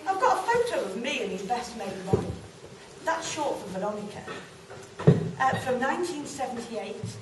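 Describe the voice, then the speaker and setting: A woman's voice: fairly high pitch, sing-song, moderate pace, pitched above the performer's own voice. A woman playing a chatty, nostalgic working-class northern English woman in an amateur stage monologue, asking the listeners questions. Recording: roomy, distant mic, noisy live hall.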